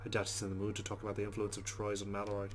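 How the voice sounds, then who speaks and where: A man reads as a rueful young woman narrating her private thoughts in a visual novel. The voice is low and even, with a put-on Irish accent, over soft music. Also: rapid-fire, close to an everyday voice. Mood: wry.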